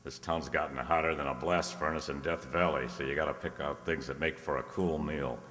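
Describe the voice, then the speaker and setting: Guy doing hard-boiled radio private eye, super deep voice, forceful n bright, slangy wisecracks, echoey hall. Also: fairly even intonation, brisk. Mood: wry griping. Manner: slangy, casual first-person patter. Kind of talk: 1940s radio detective acting. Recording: echoey hall, live stage reverb.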